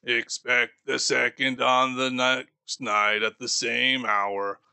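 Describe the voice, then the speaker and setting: A man voicing a doomed, grieving male ghost bound in chains, speaking slowly and gravely for an audiobook. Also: medium pitch, flat delivery, bright, forceful tone, no echo, full character voice. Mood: mournful. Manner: slow, solemn and grave. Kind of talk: audiobook character voice.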